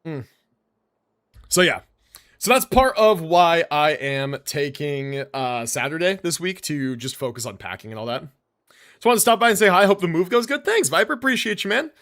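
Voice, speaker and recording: Higher-voiced man with a lively delivery. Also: moderate pace. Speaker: live streamer. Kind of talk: casual chat while livestreaming gameplay.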